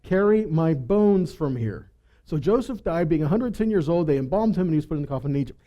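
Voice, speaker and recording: A man's voice: a little high, expressive, fairly quick, highs cut off. A man giving a Bible teaching, reading scripture and putting rhetorical questions to his listeners.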